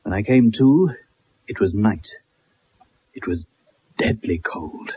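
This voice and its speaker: A young ex-soldier, bitter and haunted, telling his tale dramatically. His voice is very rough and breathy, with big swings in pitch. It comes through a muffled old radio drama recording. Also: lively intonation, slow pace, heavily acted. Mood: grave, reminiscing. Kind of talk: 1960s radio drama acting.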